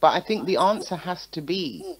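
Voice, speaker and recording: A woman's voice: fairly low pitch, lively intonation, normal speed, heavy background noise. Persistent woman guest on a talk panel. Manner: persistent, interjecting, conversational. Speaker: guest, show promoter. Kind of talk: casual panel discussion.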